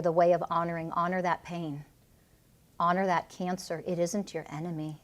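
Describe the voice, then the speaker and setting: A woman energy healer guiding a client through a healing session, commanding and intense, with blunt swearing. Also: fairly low pitch.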